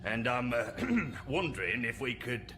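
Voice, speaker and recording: A man's voice: medium pitch, sing-song, a little slow. A man acting a matey, blustering salesman with a Northern English accent in a radio comedy, full of bluff, hesitant patter. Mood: chummy, pondering. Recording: music under the voice.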